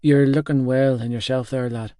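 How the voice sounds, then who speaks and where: A man's voice: medium pitch, fairly even intonation, normal speed, dark tone, clear, resonant tone, dry recording, clean studio sound, lightly acted. A man voicing a friendly, easygoing man with a thick Northern Irish accent in an audiobook. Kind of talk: audiobook character dialogue.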